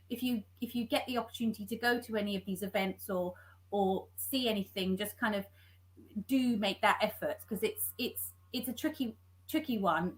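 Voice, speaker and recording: A woman speaks as a guest on a writers' panel, giving earnest advice in a plain, middle-pitched voice. She repeats words as she works out what to say. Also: a bit bright, poor audio. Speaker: scriptwriter guest. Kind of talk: panel discussion.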